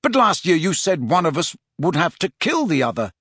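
A man as a husky, doubting teenage boy. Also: fairly high pitch, big pitch swings, moderate pace, a bit bright, dry recording, clean studio sound, full character voice. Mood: bewildered. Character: teenage boy wizard, doubtful, impatient, blunt pupil.

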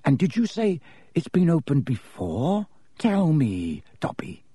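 A man plays a young schoolboy in a storybook reading, with a husky edge to the voice. The boy is suspicious and indignant, pressing with questions. The recording is close and dry. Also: a little high, huge pitch swings, a little slow, warm tone, no echo, pitched above the performer's own voice, heavily acted. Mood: urgent, questioning.

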